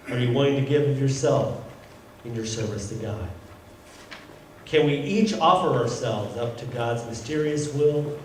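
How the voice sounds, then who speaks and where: A man's voice: medium pitch, darkish tone. Man preaching in a very echoey church. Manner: measured, rhetorical repetition. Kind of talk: scripted sermon. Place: church sanctuary. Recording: echoey church, distant mic, faint noise.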